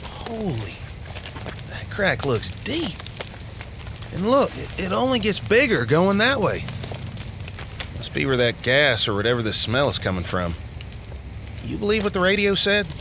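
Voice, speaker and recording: An actor playing a chatty, teasing, excitable small-town American deputy with a folksy, colloquial manner in an audio drama. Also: male voice, a little high, a little slow, gravelly, pauses often, background noise, full character voice. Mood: curious, uneasy. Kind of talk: full-cast audio drama acting. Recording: music bed under the dialogue.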